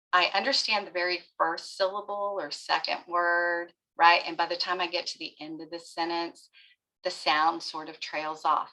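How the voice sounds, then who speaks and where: Gravelly-voiced woman therapist explaining. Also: fairly low pitch, pushed and bright, low-quality recording. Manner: explanatory, often asks "right?" to check in. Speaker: pediatric therapist presenting a webinar. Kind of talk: webinar lecture to parents.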